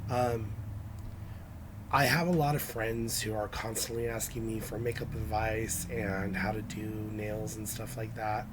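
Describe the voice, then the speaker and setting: A hoarse young man with a raspy, scratchy voice, talking through a casual nail-art how-to with lots of ums and restarts. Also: fairly low pitch, steady tone, unhurried. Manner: hesitant, many ums and restarts. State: hoarse from illness.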